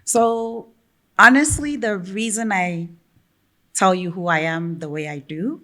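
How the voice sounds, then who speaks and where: A woman from Ghana, a podcast guest talking in a lively, emphatic way and laughing often. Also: medium pitch, takes it slowly. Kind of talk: conversational interview.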